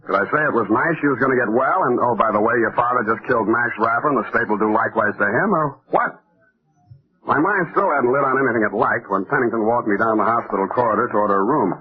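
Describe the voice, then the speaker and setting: A man's voice: mid-range voice, fast, a crowd in the background, natural voice. An actor playing a sardonic, world-weary male private detective narrating a hard-boiled 1940s radio drama. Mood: somber, reflective. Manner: wry deadpan, hard-boiled. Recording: tinny phone-like vintage radio recording.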